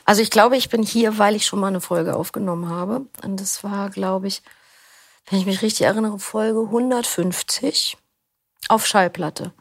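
Interview guest: middle-aged woman, gravelly, loose and chatty, dry studio mic. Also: medium pitch, normal speed, no echo. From Berlin, Germany. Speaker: interview guest, audiobook reader. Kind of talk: casual chat. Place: recording studio.

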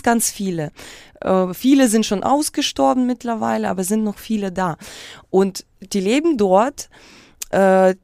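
Woman, creaky voice, native Russian and Ukrainian speaker, casual interview guest, halting, reflective, dry close sound. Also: medium pitch, a little mellow, dry recording. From Kyiv, Ukraine. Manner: halting, searching for words, self-interrupting.

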